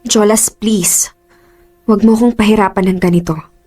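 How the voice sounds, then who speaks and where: A woman's voice: medium pitch, fairly even intonation, moderate pace, mellow, close to an everyday voice. A woman with a Filipino accent acting a torn, defensive young office worker in a radio drama. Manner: defensive, emotional.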